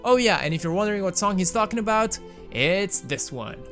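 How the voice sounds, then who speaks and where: Young male host, Romanian accent, animated slightly high delivery, self-mocking asides, faint music under. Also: fairly quick. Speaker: gaming video host. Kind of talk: casual game mod commentary voice-over.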